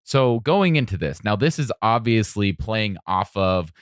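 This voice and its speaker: A man's voice: on the low side, expressive, unhurried, smooth, no echo, clean studio sound. A man hosting a podcast, talking through a film in a conversational, analytical way. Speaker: podcast host. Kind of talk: casual film discussion.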